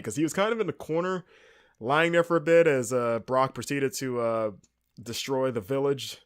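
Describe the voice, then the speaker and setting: A man's voice: mid-range voice, a bit bright. Male podcast host with a cold, congested and wry, chatty solo recap. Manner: rambling, wry and sarcastic. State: congested, with sniffles. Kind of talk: casual wrestling recap.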